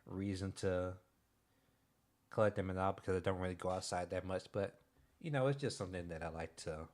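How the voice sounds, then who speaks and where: A young man streaming, chatting casually in a soft-spoken, hesitant way with many pauses and restarts. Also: deep voice. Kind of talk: casual livestream chat.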